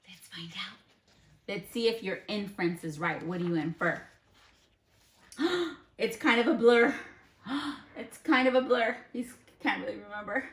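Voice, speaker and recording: Slow, expressive woman teacher in a roomy space. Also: medium pitch. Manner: expressive, questioning, exaggerated for children. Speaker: elementary school teacher. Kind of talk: interactive read-aloud for children.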